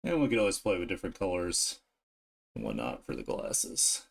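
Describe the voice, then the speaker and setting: A man streaming his art, thinking aloud in an informal, unhurried way as he works. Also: deep voice, wildly swooping pitch, vocal fry. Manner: informal, unhurried musing to self while drawing. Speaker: streamer and artist. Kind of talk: casual art livestream commentary, thinking aloud.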